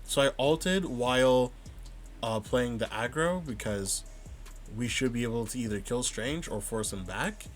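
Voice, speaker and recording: A man calmly breaking down his own match footage, thinking aloud and stopping to rewind and check his plays. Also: mid-range voice, a little slow, faint music behind. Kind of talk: casual vod review commentary.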